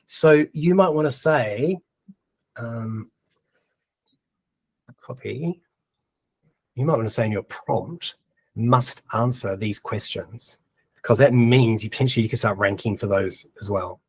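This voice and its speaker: A man's voice: mid-range voice, measured pace, pauses often, darkish tone, husky, dry recording, low-quality recording. A man with a New Zealand accent giving a casual screen-share tutorial, chatting and thinking aloud as he types, with plenty of ums. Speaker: presenter of a webinar.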